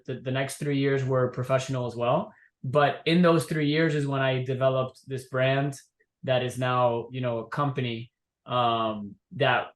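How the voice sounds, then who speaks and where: A young man from Miami, a guest entrepreneur, telling his story in a relaxed, easygoing way. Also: mid-range voice, roomy sound. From Miami, USA. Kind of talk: casual interview.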